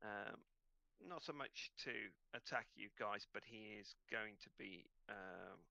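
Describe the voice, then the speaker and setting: A man narrating an online tabletop game as game master in a hesitant, halting way. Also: on the low side, vocal fry, bright, forceful tone, dry recording.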